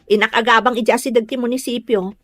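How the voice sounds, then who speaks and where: A woman's voice: fairly high pitch, fairly even intonation, quick pace, faint music behind, lightly acted. A woman playing an earnest, stubborn young village woman, hurt by gossip, in an audio drama. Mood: hurt, defiant. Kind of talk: Ilocano audio drama acting.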